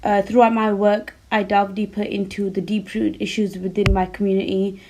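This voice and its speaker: A British-accented woman whose first language is Urdu speaks as a guest artist. Her delivery is measured and formal, the pitch staying fairly flat and even, mid-range for a woman. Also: a little hiss or hum, low-quality recording. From United Kingdom. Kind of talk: prepared statement in an interview.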